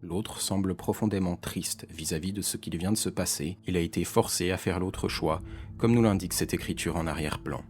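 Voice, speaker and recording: Casting: male explainer narrator, deep register, serious and measured, faint music underneath. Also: darkish tone. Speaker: narrator of video essay. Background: background music.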